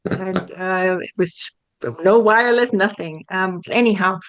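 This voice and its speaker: Dutch-born woman in her 40s, chatty and jokey, lots of um and you know, middle pitch. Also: unhurried, muffled recording. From Netherlands. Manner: chatty, jokey, many fillers. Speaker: guest, spiritual coach. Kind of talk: casual interview chat.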